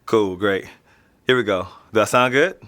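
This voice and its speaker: A man's voice: fairly low pitch, normal speed, a bit bright, close to an everyday voice. A young man with an American accent playing a casual, slangy, streetwise teenage boy being interviewed in a naturalistic audio drama. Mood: eager, casual. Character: nonchalant streetwise teenage boy living in an apartment building.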